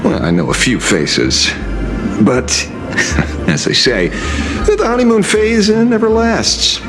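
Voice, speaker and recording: An actor playing an amused, theatrical old rogue in a video game, with playful, sly, drawling hesitations. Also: male voice, sits high, animated, takes it slowly, heavily acted. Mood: wry amusement. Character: old male rogue, theatrical, amused, wants peace and quiet. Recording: loud music under the voice.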